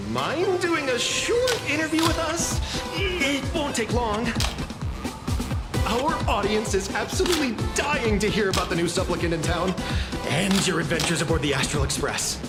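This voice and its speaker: A woman's voice: mid-range voice, natural voice. A woman voicing an eager, polite television reporter in a video game, in a roomy sound with music behind.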